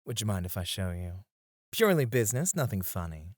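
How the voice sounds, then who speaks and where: A man acting a flirtatious, self-assured male stripper in an ASMR roleplay, suave and teasing, with breathy asides, close on the microphone. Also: fairly low pitch, sing-song, a little fast, darkish tone, no echo. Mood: smooth persuasion. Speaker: ASMR voice actor.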